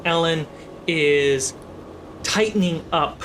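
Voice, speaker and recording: A man's voice: a little high, slow pace, noisy background. A man working as game master, casually narrating and improvising characters for a tabletop role-playing game.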